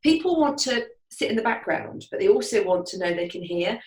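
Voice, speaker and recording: A woman's voice: medium pitch, expressive, a little fast. A woman, a guest describing her events in a casual video-call chat, enthusiastic and laughing often. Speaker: guest, networking event organizer. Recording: roomy echo, distant from mic.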